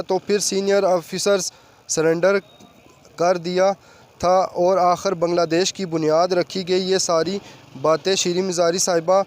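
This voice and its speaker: A man with a noticeably high-pitched voice presents political commentary. His intonation stays very flat throughout. He reads in a halting way, occasionally stumbling over words. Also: smooth. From Pakistan. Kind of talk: news commentary monologue.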